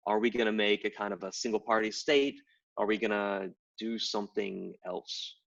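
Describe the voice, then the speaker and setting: A man's voice: fairly low pitch, flat delivery, moderate pace, gravelly, fairly bright tone. A middle-aged man, a game writer and anthropologist, explaining at length in a casual interview with many fillers and restarts. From Tidewater, Virginia. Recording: distant, dull lo-fi live stream audio.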